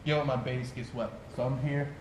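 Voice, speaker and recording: A man's voice: medium pitch, fairly even intonation, normal speed, darkish tone. A man hosting a casual podcast about jiu-jitsu, chatting loosely and then explaining a technique step by step. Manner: laid-back, explains with fillers like "you know". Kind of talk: casual chat and technique demonstration. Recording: echoey room with background noise.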